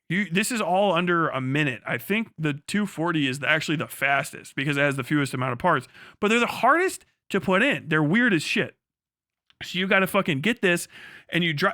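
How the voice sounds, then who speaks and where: A man livestreaming in a casual, crude, profanity-laced storytelling style as he explains things in an animated way. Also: on the high side, no room sound, studio quality. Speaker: livestream host.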